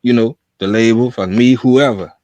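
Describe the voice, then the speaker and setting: A man's voice: mid-range voice, fairly even intonation, moderate pace, mellow, no room sound. A man hosting a podcast, chatting loosely and telling stories in slang, asking his guest questions. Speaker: podcast host. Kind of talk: casual podcast interview.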